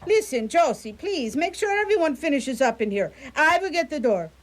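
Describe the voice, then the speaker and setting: A woman playing a bossy, excitable Italian mother in a radio play, full of exclamations. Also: high voice, normal speed. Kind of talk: community radio theatre acting.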